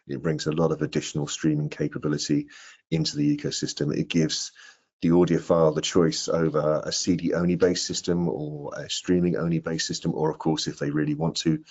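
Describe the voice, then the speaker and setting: Male product presenter, middle-aged, extremely deep and husky, slightly lo-fi video call sound. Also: darkish tone. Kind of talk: product training presentation with question and answer. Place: indoor room on a video call. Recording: dull, lo-fi video call audio.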